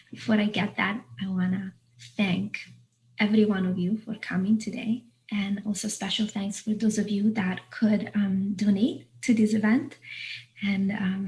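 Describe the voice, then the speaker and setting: A woman hosting an online panel, speaking off the cuff in a halting, reflective way. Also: mid-range voice, warm tone, poor audio. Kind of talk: unscripted event introduction. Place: online video call.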